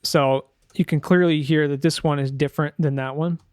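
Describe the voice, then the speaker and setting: A man's voice: on the high side, steady tone, normal speed, background noise. A man giving a casual screen-share tutorial as a sound designer, thinking aloud informally and correcting himself as he goes.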